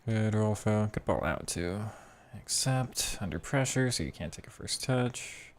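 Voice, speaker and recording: Young man, very low voice, hoarse, casual gaming commentary, thinking aloud. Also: lively intonation, measured pace, slight background noise. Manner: thinking aloud, reacting to play. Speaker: gaming streamer.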